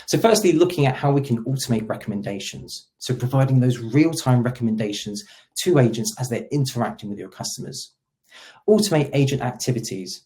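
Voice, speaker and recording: A man's voice: mid-range voice, mellow. A man hosting a webinar, presenting a product demo in an explanatory way and stressing the starts of his sentences. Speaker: webinar host; solution engineer.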